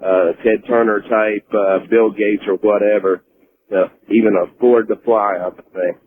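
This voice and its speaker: A man with an American accent calls a radio show in a casual, conversational way. His voice is very deep. The sound is narrow and tinny, as over a phone line on air. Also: steady tone, unhurried, a little hiss or hum. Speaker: caller, trucker.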